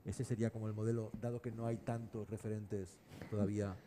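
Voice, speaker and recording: A man moderating an unscripted panel discussion, putting long questions in a measured, hesitant way. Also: lowish voice, level tone, dark tone. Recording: clear background noise, room ambience.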